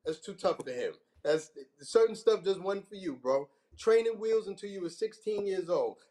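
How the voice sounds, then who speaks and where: Mocking high-voiced man roasting a player. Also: lively intonation, normal speed, mellow. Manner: mocking, rhetorical questions. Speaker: guest on a sports stream. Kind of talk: comedic roast.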